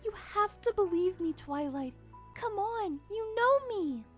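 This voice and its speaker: Very high, pleading pony princess. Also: female voice, animated, unhurried, soft background music. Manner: soft-hearted, earnest. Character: gentle, pleading young female cartoon pony princess.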